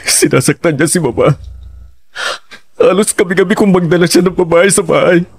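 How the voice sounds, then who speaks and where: A man's voice: high voice, moderate pace, husky. An actor playing a grieving, distraught young man confiding in a friend in a horror audio drama, emotional and pleading.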